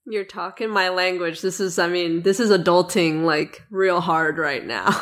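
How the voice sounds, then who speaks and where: A woman's voice: fairly low pitch, measured pace. A young adult woman chatting casually as the co-host of a podcast. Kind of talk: casual chat.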